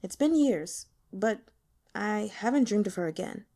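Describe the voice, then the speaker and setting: A woman voicing a shy, awkward young man who speaks gently, haltingly and sincerely in an audiobook. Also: mid-range voice, animated. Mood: wistful. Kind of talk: audiobook character dialogue.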